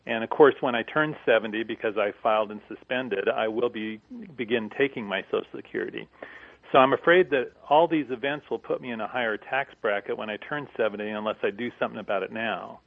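A man in his sixties calling in to a radio show, asking his question haltingly with fillers. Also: mid-range voice, creaky, dry recording. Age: 60s. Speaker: caller. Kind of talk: phone-in question. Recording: tinny phone line.

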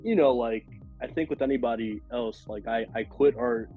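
A man with a raspy, hoarse voice from a cold, rambling casually as a podcast guest with many fillers. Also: medium pitch, normal speed, phone-quality sound, faint music behind. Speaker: podcast guest, food illustrator.

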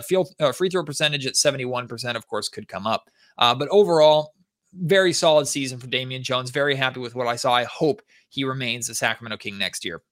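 A man's voice: medium pitch, bright, forceful tone. A man hosting a sports podcast, giving opinionated, conversational basketball analysis with emphatic stress on key words.

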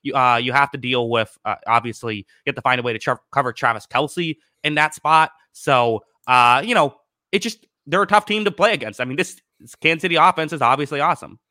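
A man's voice: medium pitch, brisk, bright, forceful tone, no room sound, studio quality. A man co-hosting a sports podcast, analysing football conversationally with a hesitant, circling delivery.

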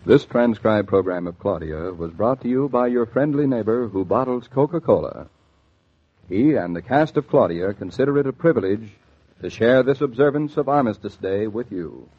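A man's voice: on the low side, lively intonation, moderate pace, breathy edge, no echo. A man announcing a sponsor message in 1940s radio style. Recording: dull, dry vintage radio recording.

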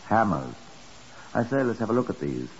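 An actor playing a calm, methodical chief inspector in a 1950s radio drama, terse and measured. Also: male voice, fairly low pitch. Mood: dry, probing. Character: calm, methodical police chief inspector, world-weary and dry. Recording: dull, hissy 1950s radio recording.

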